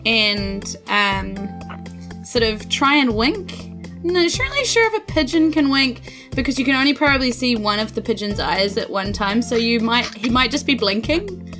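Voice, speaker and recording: A woman's voice: a little high, animated, measured pace, a bit bright. A woman chatting casually as she improvises as a player in a tabletop game. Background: music under the voice.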